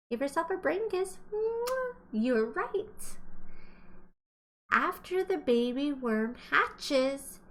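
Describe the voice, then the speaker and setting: A woman's voice: high-pitched, a bit bright. A woman teacher reading aloud to young children, explaining as she goes and asking questions in an exaggerated, encouraging way. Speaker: kindergarten teacher.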